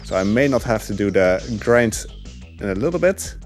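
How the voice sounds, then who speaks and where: A man commentating on a speedrun from his couch at home, chatty and relaxed with lots of fillers. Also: fairly low pitch, normal speed, soft background music. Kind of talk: casual gameplay commentary. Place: home living room couch.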